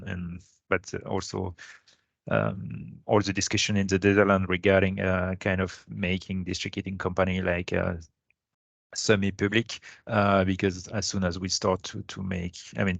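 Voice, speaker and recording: A man's voice: low-pitched, gravelly, a bit bright, dry recording. A man moderating a panel discussion, speaking hesitantly with many restarts and fillers.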